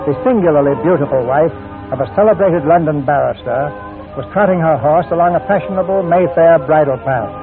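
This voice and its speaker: A man hosting and narrating a 1940s radio theater show in a formal, measured, showman-like scripted style. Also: on the high side, music in the background. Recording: tinny 1940s radio recording.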